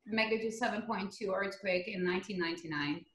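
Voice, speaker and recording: A woman's voice: medium pitch, a little fast, fairly bright tone, a little hiss or hum. A woman in her thirties with a Turkish accent, giving conversational interview answers as an engineer on a podcast. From Turkey. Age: 30s. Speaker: podcast guest, geotechnical earthquake engineer. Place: living room at home, indoors. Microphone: computer mic on a video call. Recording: roomy echo on video call.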